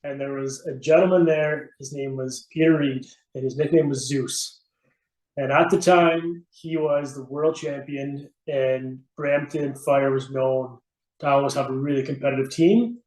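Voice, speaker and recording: A man's voice: medium pitch, steady tone, measured pace, a little mellow. A middle-aged man from Canada talking casually as an interview guest about his own experience. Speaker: podcast guest, veteran firefighter and competitor. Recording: roomy, echoey sound.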